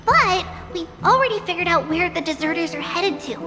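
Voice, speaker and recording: A woman's voice: very high-pitched, lively intonation, brisk, over loud music. A woman voicing a cheerful, earnest little girl prophet in a video game. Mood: earnest, explaining.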